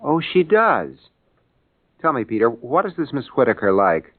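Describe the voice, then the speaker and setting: A man's voice: medium pitch, big pitch swings, moderate pace. An actor playing an exasperated, articulate lawyer father in an old-time radio comedy-drama, with a wry, theatrical way of talking. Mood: indignant. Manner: articulate, opinionated, wryly grandiose. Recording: muffled vintage radio recording with music.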